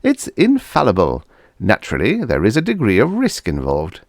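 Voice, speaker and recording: A man's voice: fairly low pitch, huge pitch swings, normal speed, dry recording, studio quality, pitched above the performer's own voice, exaggerated voice. A man voicing a shifty older mad doctor in a science fiction audiobook, fussy, theatrical and patronizing. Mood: grandly boastful.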